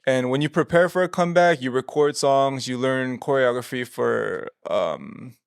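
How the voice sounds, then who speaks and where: A man who sings in a pop group, a guest talking in relaxed casual chat and explaining things at length. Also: mid-range voice, moderate pace, vocal fry, clean tone, no echo, clean studio sound. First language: English.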